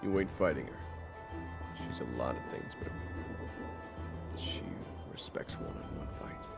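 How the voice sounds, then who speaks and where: Audio drama role: male actor for a blustering, taunting young-hero type fighter, gruff and creaky, very animated over loud music. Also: extremely low pitch, measured pace, exaggerated voice. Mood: gruff, reassuring. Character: Raven, hot-headed, easily flustered male monster fighter, taunting. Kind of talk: audio roleplay acting.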